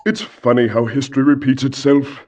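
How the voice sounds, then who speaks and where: An actor playing a ranting, pompous mad scientist villain in a video game cutscene, melodramatic and theatrical. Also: male voice, mid-range voice, fairly even intonation, brisk, recorded from a distance, noisy background, natural voice. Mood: sardonic, aching. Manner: pompous, melodramatic, swinging from sulking to shouting.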